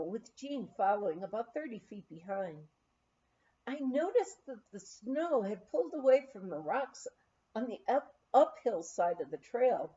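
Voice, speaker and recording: A woman reads a memoir aloud as an audiobook narrator, in a measured, expressive storytelling style. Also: on the high side, muffled recording. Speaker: audiobook reader.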